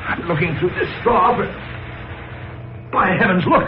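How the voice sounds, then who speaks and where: An actor playing an agitated, obsessed farmer in an old-time radio drama, with intense, urgent delivery. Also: male voice, high voice, a little slow. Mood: urgent discovery. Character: agitated male farmer obsessed with a prophetic dream. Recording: scratchy, narrow-band old radio broadcast.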